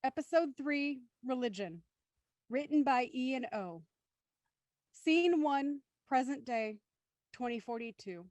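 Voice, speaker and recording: A woman's voice: sits high, animated, takes it slowly, pauses often, a little mellow, no echo. A woman hosting a live script reading and reading the stage directions aloud.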